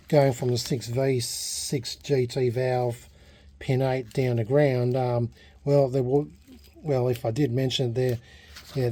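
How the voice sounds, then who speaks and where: An Australian man hosting a casual hobby vlog at an indoor workbench, talking in a halting, rambling way and correcting himself as he goes. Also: medium pitch, mellow. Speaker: hobbyist video host.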